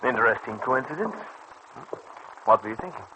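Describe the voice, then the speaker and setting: An actor in an old radio serial playing a smooth, scheming bootlegging boss with formal, condescending politeness. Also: male voice, mid-range voice, lively intonation, fairly quick, sharp tone. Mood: smooth, guarded. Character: smooth, scheming male bootlegging boss, coolly double-crossing. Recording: faintly noisy old radio recording.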